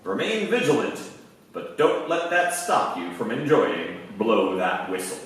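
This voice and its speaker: A man's voice: lowish voice, sing-song, unhurried, a bit bright, far from the mic. A man giving scripted announcements in the style of a 1940s radio show. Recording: echoey stage from afar, faint noise.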